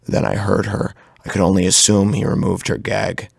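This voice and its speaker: Very deep, gravelly male horror narrator. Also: little pitch movement, moderate pace, no room sound, clean studio sound. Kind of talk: dramatic first-person horror narration.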